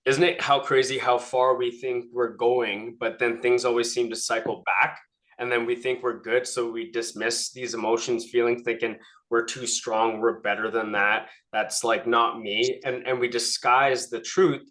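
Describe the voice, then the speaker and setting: A man's voice: mid-range voice, little pitch movement, normal speed, fairly bright tone. A man leading a group coaching session, speaking casually and often checking in with a questioning "right?".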